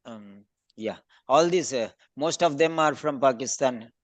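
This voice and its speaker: A man teaching an online speaking class over a video call, briskly calling on students by name for feedback. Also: mid-range voice, dry recording.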